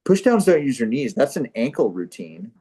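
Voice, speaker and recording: A man's voice: medium pitch, big pitch swings, normal speed, warm tone, husky. A man playing a rambling, deluded struggling actor. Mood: earnest self-justifying. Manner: hesitant, rambling, earnestly self-justifying. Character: deluded middle-aged male struggling actor, defensive and boastful. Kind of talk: improvised-sounding comic dialogue.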